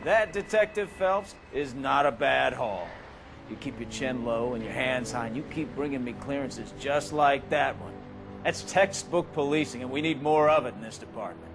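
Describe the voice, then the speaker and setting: Cynical police boss over music , actor's performance. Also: male voice, mid-range voice, normal speed, natural voice. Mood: gruffly approving. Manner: cynical, emphatic, speechifying. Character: brash, cynical police captain praising his detective. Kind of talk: video game voice acting.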